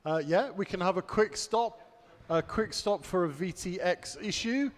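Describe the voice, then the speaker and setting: A man's voice: high voice, unhurried. A man hosting a live race stream, reading chat aloud and commentating, his voice getting worn. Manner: chatty, reads out chat messages, laughs easily. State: losing his voice. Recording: long hall reverb.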